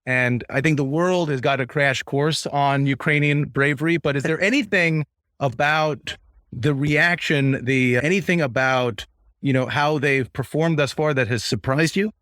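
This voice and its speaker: A man's voice: a little high, measured pace, fairly bright tone, no echo, studio quality. A man hosting a podcast, asking questions in a rambling way full of "you know" fillers. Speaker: podcast host. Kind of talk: conversational interview.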